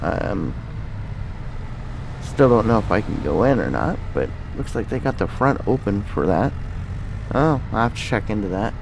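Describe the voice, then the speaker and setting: A man's voice: fairly low pitch, expressive, moderate pace, creaky, pauses often, background noise. A man hosting a gaming video, giving casual commentary and thinking aloud through each step. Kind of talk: casual gameplay commentary with roleplay.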